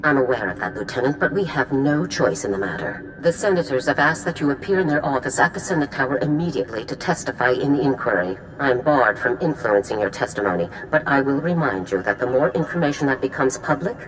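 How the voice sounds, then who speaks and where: A woman's voice: a bass voice, animated, fast, hardly any pauses, slight background noise, low-quality recording. A woman acting a stern middle-aged female general in a video game, clipped, formal and authoritative. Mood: grave, pressing. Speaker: military commander. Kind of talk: scripted game dialogue.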